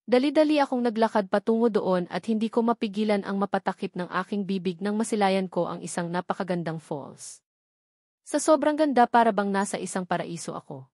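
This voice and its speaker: A woman's voice: mid-range voice, lively intonation, brisk, darkish tone, no room sound, studio quality. A woman with a Filipino accent reading a first-person story as a scripted audiobook narration.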